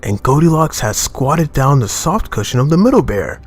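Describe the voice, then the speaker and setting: Man, storybook narration, expressive, mid-pitched, widely varied intonation, faint music. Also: normal speed, breathy edge. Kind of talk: scripted children's storybook narration.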